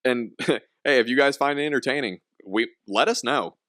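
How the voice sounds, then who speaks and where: A man's voice: medium pitch, moderate pace, a bit bright, no room sound. A young man hosting a casual podcast, rambling with frequent laughs and restarts. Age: young adult. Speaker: podcast host.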